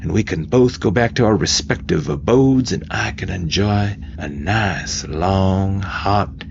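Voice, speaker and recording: A man voicing a gruff, bullying military drill sergeant who barks sardonic taunts in an audiobook. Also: sits low, slow pace, dull audio, slight background noise, exaggerated voice. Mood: mocking. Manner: loud, barking, sardonic. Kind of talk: dramatised audiobook character voice.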